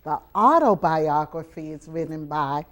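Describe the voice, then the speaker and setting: A woman's voice: deep voice, expressive, measured pace. A woman hosting a show with children, speaking in an emphatic, teacherly way and coaxing them with questions. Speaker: TV show host leading students. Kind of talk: talk to children before an audience. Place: college venue, taped live with audience.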